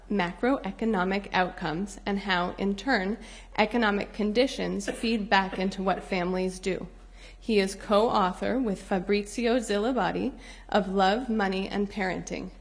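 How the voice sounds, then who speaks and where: A woman steps up to introduce the evening's speaker, reading from prepared remarks at a mid-range pitch. Her delivery is formal and steady. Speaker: event host introducing the speaker.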